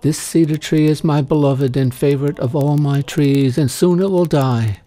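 A man voicing a wistful, tender old woman in a story reading, earnest and measured. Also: on the high side, mellow, natural voice.

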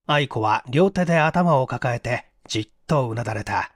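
A man's voice: mid-range voice, lively intonation, moderate pace, no echo. A man narrates a mystery story as a scripted reading. The recording is dry and close, with no room sound around his voice.

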